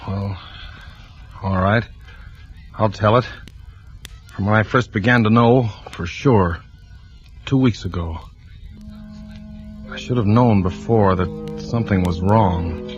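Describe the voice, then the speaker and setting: An actor playing a troubled married insurance investigator haltingly telling his story in 1940s radio suspense style. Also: on the low side. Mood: uneasy, brooding. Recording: muffled old radio recording, music underneath.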